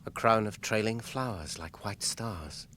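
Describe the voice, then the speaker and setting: An actor playing a gentle, weary young hobbit gentleman in a radio drama, speaking with earnest wonder. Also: fairly low pitch, a little slow, a little hiss or hum. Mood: quiet wonder.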